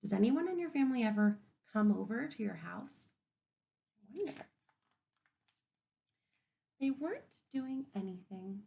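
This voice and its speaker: A woman teacher reading a picture book aloud to young children in an expressive, instructive way, pausing to ask questions. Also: a little high, gravelly. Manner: animated, instructive, asking the listeners questions.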